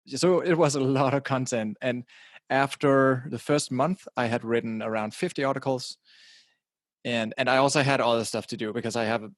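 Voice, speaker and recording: A man's voice: mid-range voice, no echo. A middle-aged man from Denmark, not a native English speaker, talking casually as a podcast guest into a close podcasting mic, often repeating words as he restarts. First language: Danish. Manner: casual, repeats words at the start of phrases, laughs now and then. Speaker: guest, website publisher. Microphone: directional podcasting mic close to mouth.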